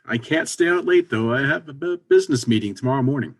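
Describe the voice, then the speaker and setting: Man acting a gravelly, businesslike friend. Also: medium pitch, expressive, fast talker. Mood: dry, practical. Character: preoccupied, businesslike married friend, dryly encouraging. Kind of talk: comedy readers theater.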